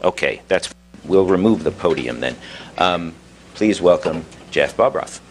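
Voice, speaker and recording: A man's voice: sits low, expressive, measured pace. A man moderating a conference, giving a formal introduction of a speaker. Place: live conference with podium. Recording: faint background noise, live event.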